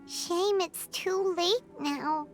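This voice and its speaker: A woman voicing a tiny childlike companion creature in an anime-style game, squeaky, earnest and curious. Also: exaggerated voice. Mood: regretful. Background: game music.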